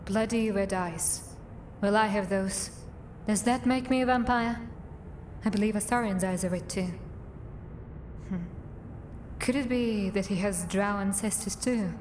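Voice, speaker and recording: A woman's voice: mid-range voice, a little slow, long pauses, darkish tone, slight background noise, lightly acted. Woman playing a wry, guarded drow assassin. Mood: sardonic musing. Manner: dry, sardonic, muttering to herself. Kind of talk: acted fantasy game dialogue and monologue.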